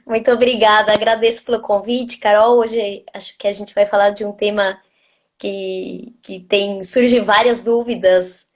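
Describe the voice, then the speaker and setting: A woman's voice: medium pitch, fairly even intonation, measured pace. A woman from Argentina with an Argentine Spanish accent, a native Spanish speaker and nutritionist, talking on a video call with frequent restarts. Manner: warm, many hesitations and restarts. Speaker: nutritionist guest. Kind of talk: live online interview.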